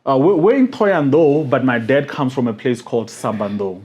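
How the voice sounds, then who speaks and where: A man, a podcast guest talking casually and telling stories with lively animation. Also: medium pitch, brisk, breathy edge. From South Africa. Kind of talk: casual conversation.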